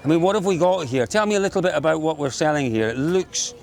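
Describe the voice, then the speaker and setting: A man's voice: fairly high pitch, lively intonation, quick pace, gravelly, a little mellow. A man from Scotland with a Scottish accent, presenting on location at an outdoor rural market in a jovial, joking, enthusiastic way.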